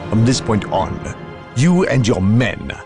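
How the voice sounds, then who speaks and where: A man's voice: lowish voice, sing-song, slow, exaggerated voice. An actor playing a haughty, severe inquisitor in a game cutscene, formal and imperious in his delivery. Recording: loud music behind.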